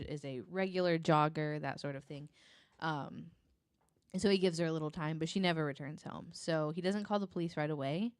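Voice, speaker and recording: A woman's voice: low-pitched, clear, resonant tone, dry recording. A woman telling a story casually and informally as a guest on a podcast.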